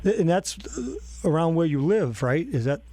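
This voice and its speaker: A man's voice: a little high, animated, normal speed, dark tone. A man hosting a radio interview, asking long, rambling questions and laughing often. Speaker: radio host. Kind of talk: casual interview.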